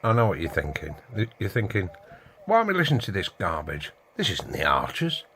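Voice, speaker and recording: A man's voice: deep voice, huge pitch swings, a little fast, sharp tone, slight background noise. A middle-aged man with a British accent explaining casually in a rambling, wry way, often asking rhetorical questions. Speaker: video host, radio hobbyist.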